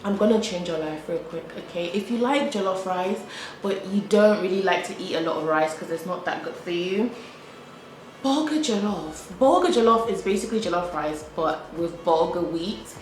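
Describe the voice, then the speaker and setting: A woman's voice: fairly low pitch, expressive, normal speed. Chatty young British woman vlogging. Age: young adult. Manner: chatty, repeats herself, frequent "like". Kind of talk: casual chat to camera while eating. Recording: echoey room with faint background hum.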